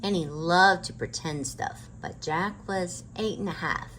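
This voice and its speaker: A woman's voice: on the low side, unhurried, a bit bright, a little hiss or hum, poor audio. A woman hosting a children's storytime and reading a chapter book aloud. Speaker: storytime host and reader.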